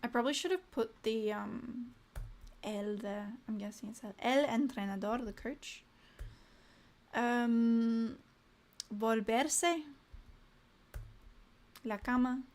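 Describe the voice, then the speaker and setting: A young woman with a fairly high voice works through flashcards at an unhurried pace, saying each word aloud. She mutters little asides to herself between cards. When she turns to her live-stream viewers, she sounds cheerful and chatty. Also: darkish tone. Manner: reads vocabulary aloud in short bursts, muttering asides to herself. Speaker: language learner streaming live. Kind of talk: casual live-stream study session.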